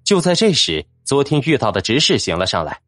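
A man's voice: mid-range voice, sing-song, brisk, a bit bright, dry recording, soft background music. A man narrating a fantasy novel in a dramatic, scripted storytelling style. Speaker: audiobook narrator.

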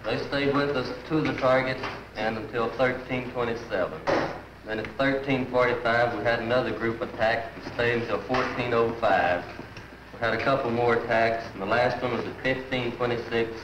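An airman reports in a military debriefing at a middling pitch. His voice echoes in the room, with clear noise on the old film sound. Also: steady tone, moderate pace, distant mic. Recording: roomy, dull, noisy 1940s film sound.

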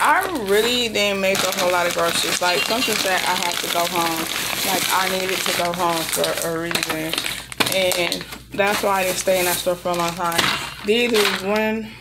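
A woman's voice: fairly low pitch, steady tone, normal speed, heavy background noise. A woman in her thirties chatting casually and bluntly to camera in a rambling vlog, sounding irritated, recorded at home at arm's length. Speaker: vlogger. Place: room in an apartment.

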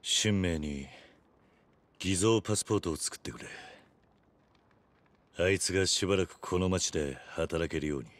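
An actor playing a stoic, tough middle-aged yakuza in a Japanese video game, speaking in terse, gruff masculine phrases. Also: male voice, low voice, normal speed, pauses often, dry recording, lightly acted. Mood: serious.

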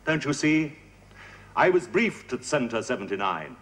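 A suave, cold spy in a 1970s TV thriller. He is smooth and clipped, and his pitch rises and falls widely. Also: big pitch swings, moderate pace, a bit bright. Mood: explaining. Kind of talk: 1970s TV drama acting.

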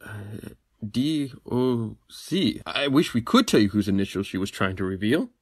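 Man acting husband in swooping, rough-edged voice. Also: lowish voice, lively intonation, a little slow, dry recording, studio quality. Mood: nervous, defensive. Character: anxious, chatty husband under suspicion in a murder. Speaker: actor. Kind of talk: old-time radio mystery acting.

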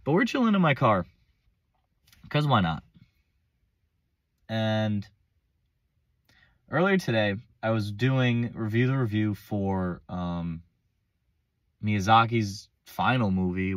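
A young man with a deep, low voice, a little hoarse as he gets over a cold. He rambles in loose, slangy chat, cutting himself off and starting over. The sound is close and dead, like a phone held up in a parked car. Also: animated, takes it slowly, long pauses, no echo. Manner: rambling, self-interrupting, casual slang. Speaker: vlogger. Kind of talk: unscripted casual monologue. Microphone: phone front-facing selfie camera.

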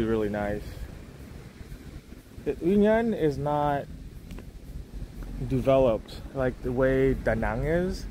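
A man's voice: medium pitch, darkish tone, slight background noise. Rambling man vlogging outdoors. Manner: rambling, many fillers and restarts. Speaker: travel vlogger. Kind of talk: casual walking tour commentary. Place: outdoors at a beach resort. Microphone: phone on a gimbal.

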